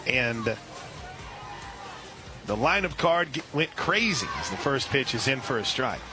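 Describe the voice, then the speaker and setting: A man calling a softball game live on radio, steady between pitches and reading listener shout-outs. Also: a little slow, music in the background, a crowd in the background. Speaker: radio play-by-play announcer. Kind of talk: live radio sports commentary.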